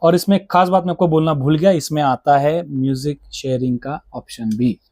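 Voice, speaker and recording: A man's voice: fairly high pitch, steady tone, moderate pace, dark tone, no room sound, clean studio sound. A man with an Indian accent, speaking as a shop seller who explains products in detail, conversationally switching between two languages. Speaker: motorcycle gear shop seller. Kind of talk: conversational product explanation in mixed Hindi and English.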